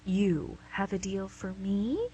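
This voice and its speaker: A woman's voice: mid-range voice, lively intonation, takes it slowly, warm tone, a little hiss or hum, rough recording. A woman playing the Devil in a comic radio play as a smug, teasing, chatty salesperson. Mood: haggling. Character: the Devil, wheeling-dealing, smug, teasing tempter. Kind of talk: radio play comedy acting.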